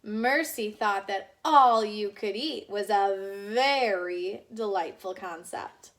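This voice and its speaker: A woman's voice: mid-range voice, takes it slowly, a bit bright. A woman teacher reading a picture book aloud to children, adding chatty asides to the kids.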